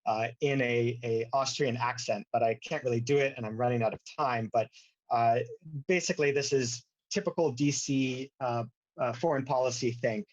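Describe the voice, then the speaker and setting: A man's voice: medium pitch, fairly even intonation, moderate pace. Male lecturer, dull lo-fi sound. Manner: wry, sarcastic asides. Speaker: university lecturer. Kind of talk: informal academic lecture. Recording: dull lo-fi audio.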